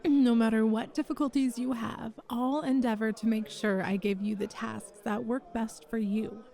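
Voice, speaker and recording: A woman performs a kind bar manager in an intimate audio roleplay. Her voice is high and feminine, with a gentle, encouraging delivery. She is nurturing and a little flirty as she soothes a nervous applicant. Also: steady tone, normal speed, vocal fry. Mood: tender, soothing. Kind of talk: ASMR roleplay, one-sided conversation.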